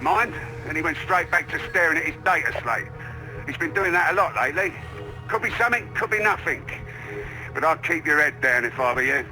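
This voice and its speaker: An actor playing a plain-spoken veteran soldier in a video game, informal, matter-of-fact and wry. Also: male voice, fairly high pitch, close to an everyday voice, low-quality recording. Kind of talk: scripted video game cutscene acting. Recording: music behind the voice. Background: music.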